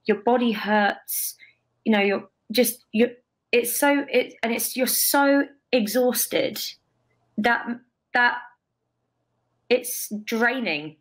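A young woman, a guest telling emphatic, expressive stories over a video call from a bright room. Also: a little high, takes it slowly, pauses often. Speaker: guest, stage performer. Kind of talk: casual interview.

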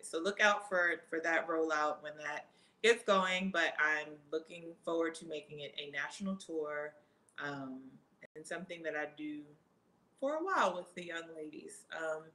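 A woman in her thirties, a track athlete, casually answering fan questions with many ums and easy laughs. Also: lowish voice. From Trinidad and Jamaica family roots, living in the US. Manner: relaxed, many ums, reads questions aloud then answers. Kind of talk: casual live Q&A.

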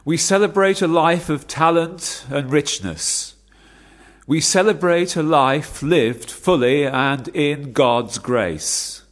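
An actor playing a grieving, devout older clergyman in a radio play, speaking in a measured, formal, preacherly way. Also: a little high, fairly bright tone. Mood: solemn. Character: grieving elderly clergyman father, solemn and devout. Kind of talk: radio play acting, funeral sermon.

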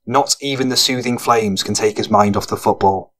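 A man narrates a gritty audiobook. His pitch is a little low, and he reads scripted prose as the storyteller. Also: normal speed, a bit bright.

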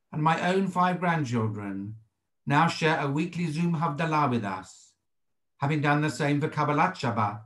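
A British man in his sixties, a rabbi giving a sermon in a measured, formal and deliberate way over a video call from a home study. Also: a little high, echoey room. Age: 60s. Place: indoors, home study with bookshelves. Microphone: computer microphone on a video call.

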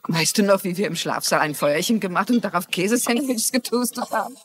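A woman acting a lively, kindly older lady. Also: mid-range voice, brisk, natural voice. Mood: friendly. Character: kindly, curious older woman mystery novelist. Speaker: dubbing actor. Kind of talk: dubbed TV crime drama. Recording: faint background noise.

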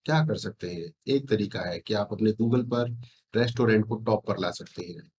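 A man's voice: on the low side, normal speed, darkish tone. A middle-aged man talks viewers through an on-screen demonstration. He sells his consulting service with self-assurance and often repeats phrases to drive a point home. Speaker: SEO consultant. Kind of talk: screen-share sales pitch to viewers. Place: indoor room, screen recording with webcam.

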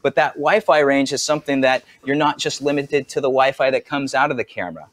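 A man's voice: medium pitch, brisk. Guy in his 40s demoing cameras on a video call from his home studio, upbeat and chatty. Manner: energetic, conversational, demonstrating gear. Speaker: camera company trainer. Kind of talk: product demonstration.

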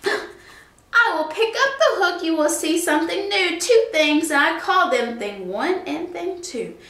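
A woman's voice: extremely high pitch, lively intonation, a little slow, background noise, heavily acted. A woman voicing a playful, boastful male cat with sing-song rhyming delivery. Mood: coaxing. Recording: roomy echo.

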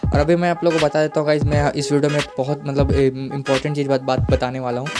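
A man's voice: on the high side, level tone, fast talker, over music. A man with an Indian accent hosting a casual gaming tutorial, repetitive and addressing viewers directly. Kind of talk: casual screen-recorded tutorial.

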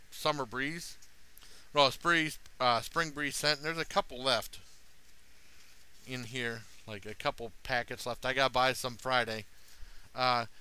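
Bright, clear-voiced middle-aged American man. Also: medium pitch. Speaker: product reviewer, vlogger. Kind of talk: casual rambling review to camera. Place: small room at home. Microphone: headset.

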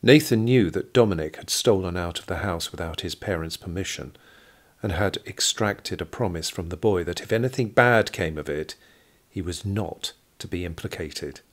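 A man reading scripted literary narration for an audio drama in a measured storytelling manner, with a husky quality. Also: low-pitched.

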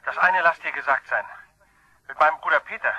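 Sharp, threatening man on the phone. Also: fairly high pitch, brisk, breathy edge, no room sound, dull audio, full character voice. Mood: menacing. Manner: menacing, emphatic. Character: vengeful, threatening brother on the telephone. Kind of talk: German crime radio drama acting.

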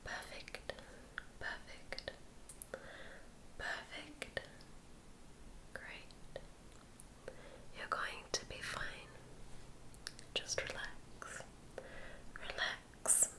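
A woman guiding ASMR relaxation in a breathy whisper, soothing and often repeating her phrases. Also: extremely slow, long pauses. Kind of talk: ASMR sleep hypnosis.

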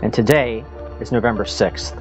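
An American man, a podcast host, gives a dramatic, deliberate reading of a political commentary with weighted pauses. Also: lowish voice. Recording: dull lo-fi sound with music behind.

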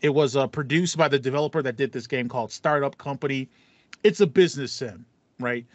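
A man's voice: medium pitch, a little fast, dry recording. Dry-sounding American man, chatty podcast host. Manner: chatty, playful, slangy. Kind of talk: casual gaming podcast chat. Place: small home room with acoustic foam, indoors. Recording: slightly dull, dry home recording.

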